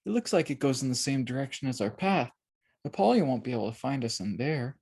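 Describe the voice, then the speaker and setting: A narrator voicing a gentle young girl, an earnest, childlike pilgrim in a children's storybook. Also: medium pitch, expressive, fast, gravelly, slightly rough. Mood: suggesting.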